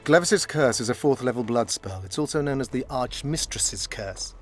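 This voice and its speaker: An actor playing a condescending, scholarly court sorcerer in a video game, pompous, silky and mock-courteous. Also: male voice, mid-range voice, lively intonation, normal speed, soft background music, close to an everyday voice. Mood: lecturing. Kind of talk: video game dialogue acting.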